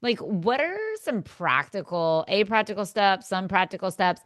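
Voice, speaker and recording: A woman's voice: mid-range voice, a bit bright, no echo, clean studio sound. A woman hosting a podcast interview, affirming her guest often and restarting her questions as she talks. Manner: affirming, many quick agreements and restarts. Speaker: podcast host.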